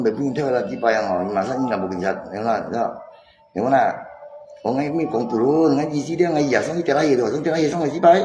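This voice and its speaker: A man's voice: mid-range voice, darkish tone. A man chatting casually and insistently on a live stream, often repeating himself. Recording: echoey room, music mixed in. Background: music.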